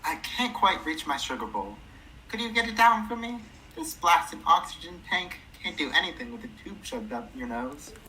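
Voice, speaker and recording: Actress as kindly elderly woman, low bright voice, folksy, fussing, radio drama, faint background noise. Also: very animated, moderate pace, low-quality recording. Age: older. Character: ailing, chatty, kindly elderly neighbour woman. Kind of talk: radio play acting.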